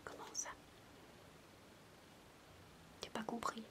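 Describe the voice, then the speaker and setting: A woman in a soft-spoken, whispered ASMR roleplay, coaxing like a babysitter talking to a sulking child. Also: fast.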